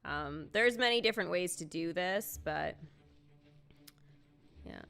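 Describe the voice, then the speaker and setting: A woman's voice: on the low side, lively intonation, takes it slowly, gravelly, fairly bright tone, smooth. A woman chatting casually to viewers as she gives play-by-play commentary on a game, with informal exclamatory asides. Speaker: live game streamer. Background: video game music.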